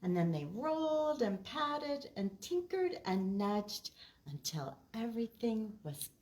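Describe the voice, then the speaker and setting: A woman's voice: on the high side, sing-song, slow pace, darkish tone, slight background noise. A woman hosting preschool storytime as a children's librarian, reading picture books expressively and explaining simply to small children. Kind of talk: picture-book read-aloud and chat to young children.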